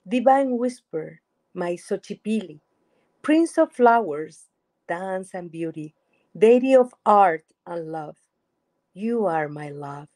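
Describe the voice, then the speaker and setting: A middle-aged woman, reciting her poetry slowly and expressively over a video call from a room at home. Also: on the low side, a little mellow. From USA. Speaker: poet. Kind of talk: poetry reading. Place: home room with lamp and shelves. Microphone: webcam on video call.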